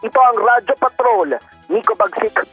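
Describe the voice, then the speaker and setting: Husky filipino guy doing a radio report, really high voice, kinda muffled lo-fi sound. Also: big pitch swings, moderate pace, dry recording. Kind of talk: scripted news report. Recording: dull lo-fi radio feed with music. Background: music.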